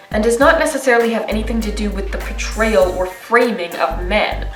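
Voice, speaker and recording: A woman's voice: medium pitch, reverberant, far from the mic, low-quality recording. A woman narrating a scripted video essay, with a wry, conversational manner and offhand asides.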